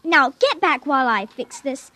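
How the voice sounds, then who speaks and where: A woman's voice: sits high, animated, moderate pace, background noise. A woman playing an eager, bossy little girl with a wild imagination in American 1950s radio drama. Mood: bossy, intent. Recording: vintage radio drama recording.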